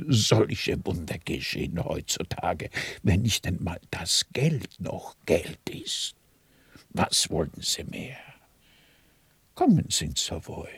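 A worldly, cynical speculator in a radio drama. He is very husky and breathy, slow-paced but swinging widely in pitch with excitable emphasis. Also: no room sound, full character voice. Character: excitable, cynical hotel-bar speculator, worldly wheeler-dealer. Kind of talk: German radio play acting.

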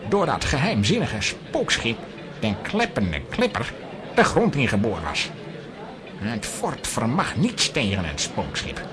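Earnest worried island doctor, lively intonation, children's audio play, chatter behind. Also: male voice, medium pitch. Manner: earnest, expository storytelling.

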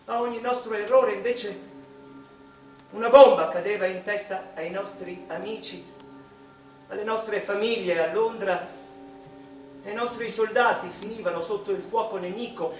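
A man's voice: sits high, a bit bright. A male actor playing a tormented, eccentric mathematician in an emphatic, declamatory radio drama monologue. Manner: emphatic, declamatory, with deliberate repetitions. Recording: distant echoey room with faint background noise.

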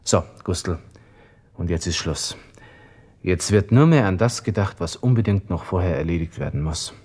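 An actor playing a self-pitying, vain young army lieutenant with a Viennese accent, muttering an interior monologue to himself in a radio play, by turns flippant and sentimental. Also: male voice, deep voice, lively intonation, moderate pace, a little mellow, a little hiss or hum. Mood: brooding, flippant. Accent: Viennese Austrian. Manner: brooding, talking to himself, shifting between flippant and sentimental.

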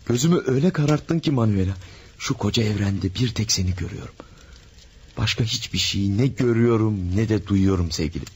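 A man's voice: fairly low pitch, fairly quick, a little mellow, breathy edge. An actor playing an infatuated married man in a radio drama, pleading and overwrought in his passion. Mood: impassioned. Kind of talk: 1970s-style radio drama acting.

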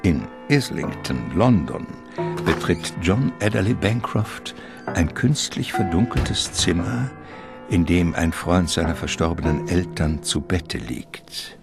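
An actor playing a solemn, ominous narrator of a gothic radio drama, measured and literary in delivery. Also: male voice, sits low, vocal fry, over music, exaggerated voice.

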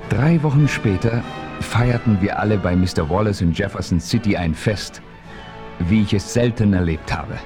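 An actor plays a seasoned frontier hero who tells the story himself in an old radio play. He speaks calmly and with authority, in a very low voice. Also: over music, close to an everyday voice. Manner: calm, measured, authoritative. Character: seasoned frontier hero narrating his adventure. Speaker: narrator. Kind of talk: 1970s radio play narration.

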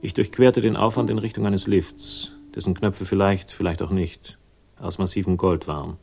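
Deep-voiced, weary hard-boiled man. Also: fairly even intonation, normal speed, creaky, a little mellow. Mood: dry, resolute. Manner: dry, world-weary, laconic. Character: weary, battered, sardonic male crime-story narrator bent on revenge. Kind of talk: late-1960s German radio crime drama, first-person narration. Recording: 1960s radio production, music behind.

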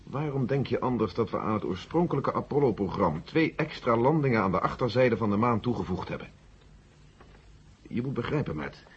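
A man's voice: medium pitch, expressive, a little fast, lightly acted. Guy acting a bossy brusque space mission general, old radio drama, muffled w some hiss. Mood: defensive, irritated. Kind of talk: 1970s Dutch science fiction radio drama acting. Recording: dull, noisy vintage radio play recording.

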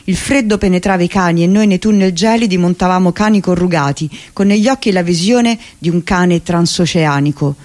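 A reader giving a measured, reflective first-person memoir reading on radio. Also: mid-range voice, a little mellow.

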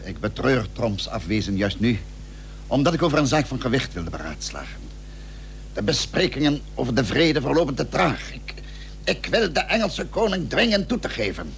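Determined political leader. Formal, emphatic radio-play delivery, gravelly edge, faintly humming old recording. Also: male voice, medium pitch, sing-song, normal speed, slight background noise. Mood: measured, persuasive. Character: shrewd, determined statesman, commanding yet diplomatic schemer. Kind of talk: historical radio play acting.

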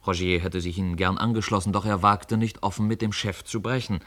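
A man with a low voice narrates a radio drama. He tells the story in a measured, literary way, as a scripted reading. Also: steady tone, a bit bright.

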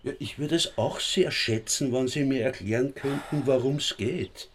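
A male Austrian police inspector in a radio drama. He speaks at a medium pitch with dry sarcasm. His phrasing is wordy and pedantic. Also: unhurried, husky. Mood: impatient, sarcastic.

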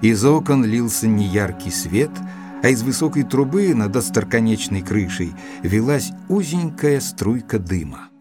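An actor playing a gentleman narrator of a mystery tale, measured and expressive, in a literary audio drama. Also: fairly low pitch. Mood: sombre, atmospheric. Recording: dry studio with music underneath.

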